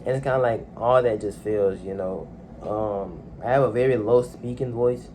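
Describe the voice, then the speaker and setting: A young man talking casually and haltingly into a phone at home, with a soft, low-key delivery and lots of fillers. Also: a little hiss or hum. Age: young adult. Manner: soft-spoken, hesitant, many fillers. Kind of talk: casual personal monologue. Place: home, alone in a room.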